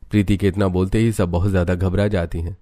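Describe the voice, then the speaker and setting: A man's voice: low-pitched, little pitch movement, fast, dark tone. A man narrating a scripted cartoon village story as its storyteller.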